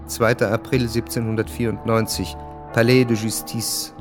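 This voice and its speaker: A man narrating a historical radio drama in a measured, sober manner. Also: on the low side, flat delivery, over music, lightly acted. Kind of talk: scripted narration.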